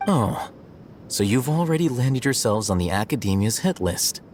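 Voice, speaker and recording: An actor playing an aloof, analytical young male scholar in a video game, speaking in a measured, formal, dryly probing way. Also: medium pitch, expressive, a little mellow, slight background noise, natural voice. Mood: wry. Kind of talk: video game cutscene acting.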